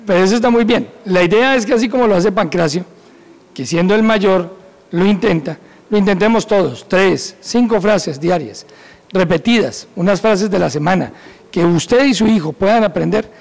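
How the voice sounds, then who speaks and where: A man in his forties, a police school director, calmly explaining an educational point in an office. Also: sits high, normal speed, gravelly. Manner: calm, instructive, laughs easily. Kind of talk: educational segment talk. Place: indoor office with bookshelves. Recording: echoey room.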